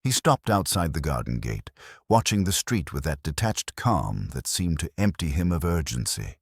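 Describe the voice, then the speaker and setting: A male storyteller with an extremely low voice reads literary mystery prose. His intonation moves widely from phrase to phrase, and the recording is close and dry, with hardly any room sound. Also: very animated, moderate pace, breathy edge, no room sound. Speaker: audiobook narrator. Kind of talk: scripted literary mystery narration.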